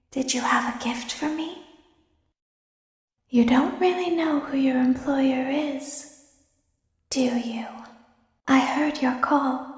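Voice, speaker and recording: Creepy girlish robot, high soft voice, very slow taunts, echoey hall, video game. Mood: eerie, coy. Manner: soft, whispery, deliberate. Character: eerie, soft-spoken girlish animatronic, quietly vengeful and taunting. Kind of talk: video game character voice lines.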